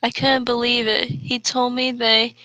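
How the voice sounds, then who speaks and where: A woman co-hosting a comic read-aloud of trashy fiction, deadpan as she sounds out every mistake as written. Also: a little high, measured pace, no echo, poor audio. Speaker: co-host reading bad fan fiction aloud.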